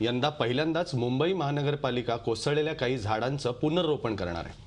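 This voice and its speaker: A man's voice: medium pitch, lively intonation, brisk. A man reading scripted news as a television anchor. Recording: music bed under the voice.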